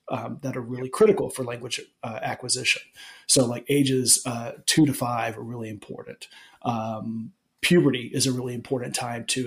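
A man in his forties from Alabama, the usual host, answering interview questions in a relaxed, rambling way with many restarts. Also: medium pitch. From Alabama, United States. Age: 40s. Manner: rambling, many ums and restarts, laughs easily. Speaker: podcast host being interviewed. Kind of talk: casual interview chat.

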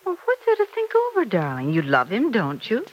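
A woman's voice: a little high, huge pitch swings, brisk, lo-fi sound. An American actress playing a fond, teasing middle-aged mother in an old-time radio soap opera, with a coaxing, motherly manner. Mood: coaxing, insistent. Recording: vintage radio transcription.

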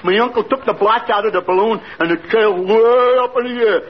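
An actor playing a dim-witted, good-natured teller of tall tales in old-time radio comedy, earnest and folksy. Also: male voice, high voice, brisk, husky. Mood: earnest, storytelling. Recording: muffled noisy vintage radio recording.

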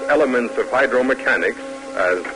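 A man narrating a 1950s radio drama from a script in a measured, formal, dramatic manner. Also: mid-range voice, rough recording. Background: music.

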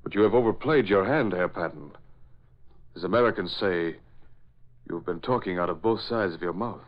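A man performs a cold German-accented spy chief from an old radio thriller. The voice is low and the delivery clipped, formal and threatening. The recording is dull and aged. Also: normal speed, lightly acted. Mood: accusing. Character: cold, menacing male Nazi spy chief. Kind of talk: 1940s radio drama acting.

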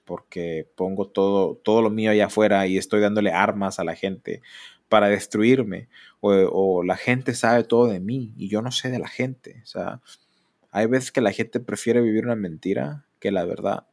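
A Mexican man in his thirties speaks alone into a podcast mic in a fairly low voice. He talks candidly and rambles. Now and then he pitches up to mock other people. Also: fairly even intonation, normal speed, a little mellow. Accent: Mexican Spanish. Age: 30s. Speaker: podcast host. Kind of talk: solo podcast monologue.

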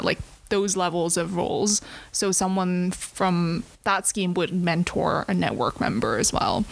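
A woman's voice: fairly low pitch, dry recording. A young woman from Hong Kong, a guest on an interview, talking casually with lots of fillers and light laughs. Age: young adult. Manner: chatty, many fillers, laughs often. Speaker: guest, TV business affairs worker.

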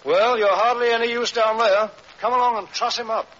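An actor playing a resolute, protective police inspector in an old-time radio drama, urgent and earnest. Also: male voice, very high voice, fairly even intonation, fairly quick. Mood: commanding. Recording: hissy, dull vintage radio recording.

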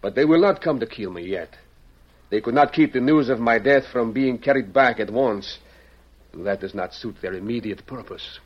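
A man's voice: medium pitch, normal speed, lightly acted. A man playing a proud, courteous fugitive Mexican revolutionary with a Mexican accent, speaking earnestly and formally in an old-time radio drama. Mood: urgent, earnest. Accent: Mexican Spanish. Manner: earnest, formal, theatrical phrasing. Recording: muffled lo-fi vintage radio recording.

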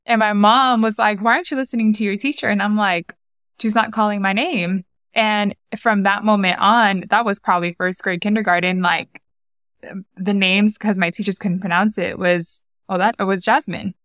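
A young woman hosting a podcast, chatting casually and often reacting with soft exclamations. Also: medium pitch, fairly even intonation, moderate pace, no room sound, clean studio sound. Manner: warm, reacts often with "wow". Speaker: podcast host. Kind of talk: casual conversation.

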